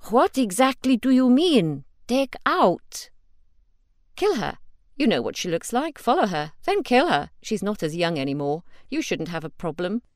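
A woman voicing a smooth, scheming male fixer who talks down to others. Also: on the low side, big pitch swings, moderate pace, no echo, studio quality. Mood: cold orders.

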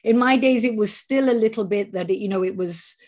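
A woman in her fifties hosting an interview podcast, talking in an animated way and often restarting her sentences. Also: medium pitch, a little fast. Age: 50s. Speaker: podcast host. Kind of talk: conversational interview.

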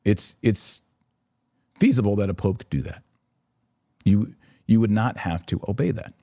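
A man's voice: on the low side, expressive, unhurried, dark tone, no echo, studio quality. A man hosting a religious commentary podcast, speaking in an emphatic, instructive yet conversational way. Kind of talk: live religious commentary, answering listener questions.

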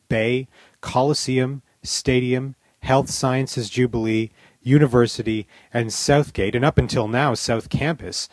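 A man's voice: mid-range voice, a little mellow, dry recording. A man hosting a podcast, delivering scripted opinion commentary in a wry, critical, emphatic way. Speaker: podcast host.

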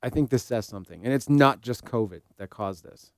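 A man's voice: medium pitch, brisk, creaky, no echo, studio quality. An American man hosting an informal podcast discussion in an easygoing, conversational way. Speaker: podcast host and practicing therapist.

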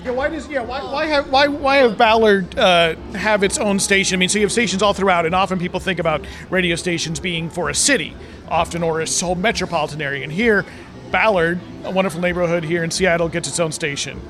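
A man's voice: high voice, fairly quick, fairly bright tone, faint music behind. A man hosting a podcast, interviewing conversationally and often rephrasing his questions as he goes. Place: inside a brewery restaurant.

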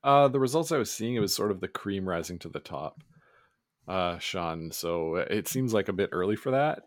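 A man with a low voice that is a little scratchy. He talks casually as a podcast co-host, and the recording is dry and free of room echo. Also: lively intonation, moderate pace. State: slightly scratchy voice from yelling while curling. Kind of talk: casual chat.

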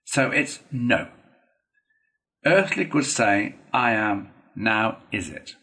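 A man acts out a vain, impulsive toad in a storybook. He is glib, stubborn and theatrical, leaning on words emphatically with wide swoops in pitch. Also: animated, takes it slowly, pushed and bright, full character voice. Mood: impatient, glib. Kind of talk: storybook character reading.

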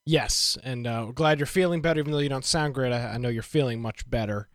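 A man's voice: medium pitch, fast, no echo, studio quality. A man hosting a gaming podcast, talking in upbeat, teasing casual chat. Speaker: podcast host.

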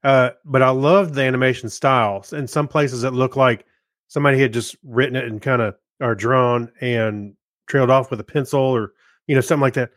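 Casual male co-host with halting delivery. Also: mid-range voice, steady tone, fairly bright tone, dry recording, studio quality. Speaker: podcast co-host. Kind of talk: casual review chat.

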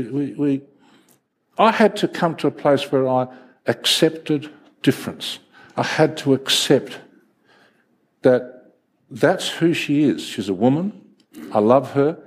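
A middle-aged male pastor whose first language is Greek delivers a lively sermon. His voice sits a little high and leaps around expressively, carrying a husky edge, and he slips into quick acted voices while telling stories. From Greek heritage, first language Greek. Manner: animated, storytelling with acted voices.

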